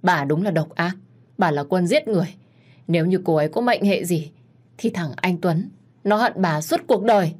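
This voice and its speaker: A woman voicing a bitterly angry middle-aged husband and father, shouting accusations in an audio story. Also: lowish voice, moderate pace, natural voice. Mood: bitter anger.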